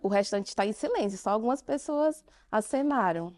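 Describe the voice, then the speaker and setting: A woman with a Brazilian Portuguese accent speaks to a conference audience in a somewhat high voice. She draws listeners in with direct questions and lands her points with emphatic pauses. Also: animated, darkish tone. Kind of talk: interactive conference talk.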